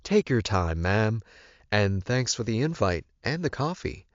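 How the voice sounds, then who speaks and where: A man's voice: on the low side, sing-song, unhurried, no echo, dull audio, pitched above the performer's own voice. A man voicing a polite, deferential male chauffeur in an audiobook story. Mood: cheerfully courteous. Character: courteous smiling male chauffeur in a suit.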